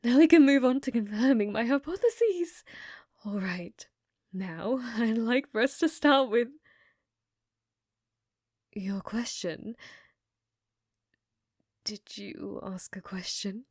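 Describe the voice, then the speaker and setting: A woman voicing an unhinged, infatuated scientist in a hushed, breathy ASMR roleplay, clinical yet flirtatious and often whispering. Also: fairly high pitch, lively intonation, slow pace, long pauses, dry recording, clean studio sound, heavily acted. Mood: excited. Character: unhinged, infatuated female scientist studying a captive alien.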